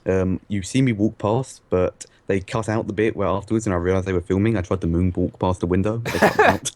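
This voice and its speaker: Low-pitched English man, casual joking livestream co-host, laughs a lot. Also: brisk, no room sound. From England. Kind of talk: casual chat.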